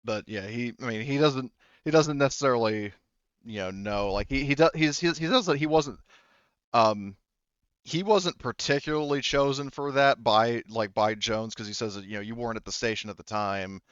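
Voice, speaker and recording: A man speaking as game master, improvising narration casually, haltingly, often circling back to rephrase. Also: mid-range voice, fairly bright tone, clean tone, no room sound, lo-fi sound.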